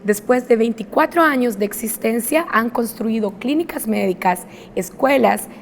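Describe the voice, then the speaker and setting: Woman, raised pitch, formal TV presenter, long reverb. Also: normal speed. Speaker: TV interview host. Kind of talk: formal broadcast introduction and questioning. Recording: reverberant room with background noise.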